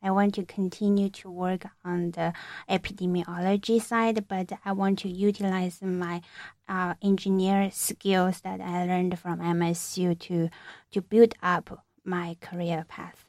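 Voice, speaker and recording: Young female researcher, interview guest; slow, hesitant, filler-heavy delivery, dry close sound. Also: on the low side, level tone, darkish tone, dry recording. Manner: halting, many fillers and restarts. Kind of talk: hesitant conversational answers.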